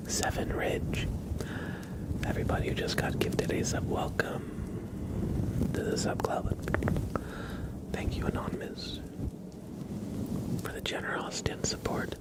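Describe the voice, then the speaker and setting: A man hosting an ASMR livestream, chatting in a hushed, unhurried, soft-spoken whisper close to the microphone. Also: poor audio.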